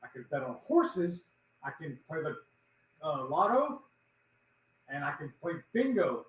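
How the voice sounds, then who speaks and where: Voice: mid-range voice, big pitch swings, unhurried. A speaker with a thick Texan accent telling rambling stories and ranting in a casual livestream chat, with many hesitant fillers. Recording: echoey, slightly dull sound with faint hum.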